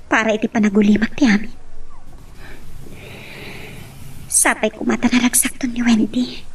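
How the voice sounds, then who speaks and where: An actress plays a young woman in a radio-style love drama, her voice very high. She speaks with an Ilocano accent, anxious and earnest, ready to sacrifice herself for love. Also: breathy edge, far from the mic. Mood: sad, resolved.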